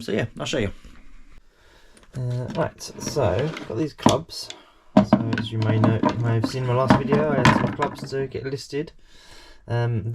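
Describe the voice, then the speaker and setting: A man's voice: lowish voice, a little mellow. A middle-aged man with a cold, talking in a casual, rambling way to camera about his eBay sales. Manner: rambling, lots of ums, self-deprecating. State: nasal from a cold. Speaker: eBay reseller vlogger.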